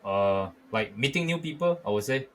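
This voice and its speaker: A man's voice: lowish voice, lively intonation, moderate pace, a bit bright. A man with a Malaysian English accent, talking hesitantly on a podcast with many "you know" fillers. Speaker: podcast co-host. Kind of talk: casual chat.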